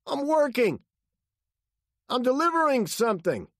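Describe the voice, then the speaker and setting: A terrified delivery driver, acted by a male narrator in an audiobook. His voice jumps high and swings about as he pleads frantically. The sound is close and dry. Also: sing-song, a little slow, dry recording, heavily acted. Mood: nervous, explaining.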